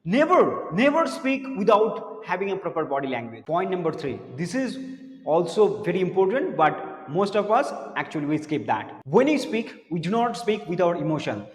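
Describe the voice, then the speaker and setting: A man who teaches spoken English, giving an earnest motivational lesson to camera, repeating words and dropping his voice low for serious points. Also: very animated, moderate pace. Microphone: camera mic, speaking to camera. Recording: echoey room with long reverb.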